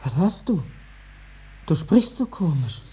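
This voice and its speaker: Husky, low-voiced farmer's wife. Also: female voice, big pitch swings, slow pace, warm tone, heavily acted. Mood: curious, concerned. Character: curious, matter-of-fact farmer's wife. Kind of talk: 1950s German radio play acting.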